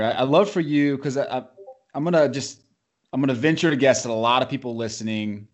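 Upbeat man hosting a podcast. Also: on the low side. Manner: upbeat, quick reactions. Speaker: podcast host. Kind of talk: casual interview.

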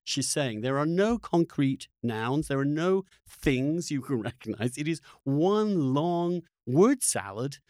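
A man's voice: on the high side, animated, slow pace, few pauses, darkish tone, no room sound, clean studio sound. A man hosting a podcast, talking in an opinionated, unscripted way, emphatic and often restarting his phrases. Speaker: podcast host.

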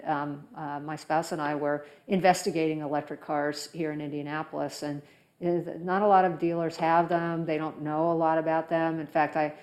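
Woman interviewer w a low voice, chatty but halting, pauses a lot as she thinks. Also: darkish tone. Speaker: interview host. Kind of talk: conversational interview.

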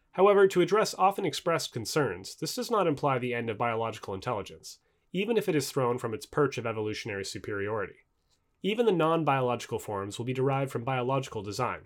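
Male nonfiction audiobook reader. Expository and precise, quick delivery, expressive intonation on key terms. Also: mid-range voice. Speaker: narrator reading a book aloud. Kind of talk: scripted nonfiction audiobook narration.

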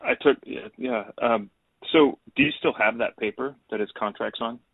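A man's voice: medium pitch, normal speed, creaky, no echo. A man hosting a podcast, asking long follow-up questions of his guest. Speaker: podcast host. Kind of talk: interviewer questions. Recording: dry, tinny phone line.

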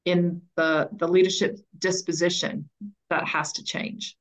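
Female expert guest, somewhat low-pitched, conversational, deliberate and explanatory delivery. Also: flat delivery, rough recording. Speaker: interview guest, education author and coach.

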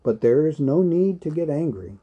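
A man's voice: a little high, expressive, moderate pace, husky, narrow, tinny audio, pitched above the performer's own voice. A man voicing an old male wizard in a storybook reading, grave, watchful and patient, with a thoughtful, firm manner. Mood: calming.

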